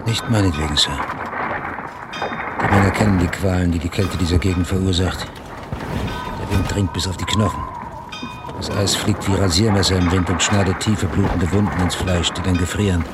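Deep-voiced, grave sailor played by a man. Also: steady tone, moderate pace, pauses often, loud music behind the voice, natural voice. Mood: urgent protest. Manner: grave, urgent, respectful. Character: worried, outspoken ship's officer in polar ice. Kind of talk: German radio drama acting.

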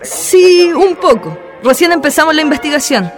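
Woman doing young student girl, polite n eager, high voice, radio play w faint music. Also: very animated, moderate pace. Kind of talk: Spanish-language radio drama acting.

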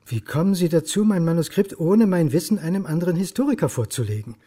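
A man's voice: a little high, animated, quick pace, mellow, breathy edge. An actor playing a brooding, indignant historian in a radio crime drama, terse and insistent as he presses for answers.

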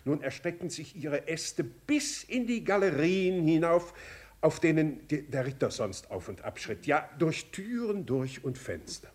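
Lively, swooping old princely uncle. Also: male voice, on the high side, unhurried. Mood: absorbed, enthusiastic. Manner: hesitant, repeats words while eagerly explaining. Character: sprightly old princely uncle, enthusiastic and rambling. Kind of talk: German literary radio play acting.

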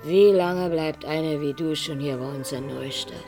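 A woman's voice: deep voice, measured pace, dark tone, faint music behind. An actress playing a blunt, world-weary woman colleague in a radio drama, talking in a sceptical, colloquial way. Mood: sardonic, warning. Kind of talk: 1980s radio drama dialogue.

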